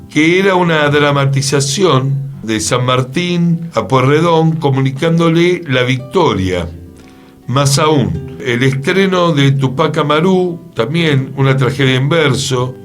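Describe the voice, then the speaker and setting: A man with an Argentine Buenos Aires accent presenting history conversationally on a radio talk show. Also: mid-range voice. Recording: music playing behind the voice.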